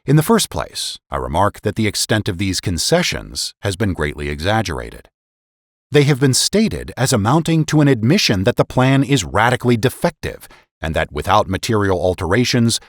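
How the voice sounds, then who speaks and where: A man's voice: fairly low pitch, sing-song, normal speed, no room sound, studio quality. A man giving a dramatic reading of historical political essays in a formal, emphatic, oratorical manner. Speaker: podcast host and narrator.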